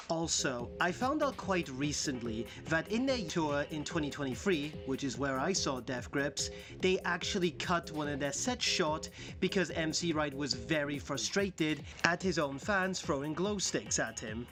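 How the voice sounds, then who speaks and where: A man's voice: on the high side, expressive, normal speed, over music. A young man from Wales with a dry, self-mocking humor, narrating a scripted commentary about a band. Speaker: video essayist.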